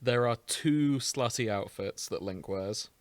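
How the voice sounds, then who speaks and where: A man's voice: fairly low pitch, a little slow, no echo. Jokey British man chatting with co-hosts. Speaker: podcast host. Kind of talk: casual chat with co-hosts.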